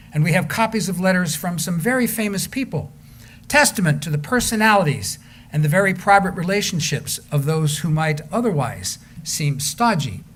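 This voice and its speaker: High-pitched man narrating from a script. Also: fairly bright tone, slight background noise. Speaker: narrator in an amateur readers theater.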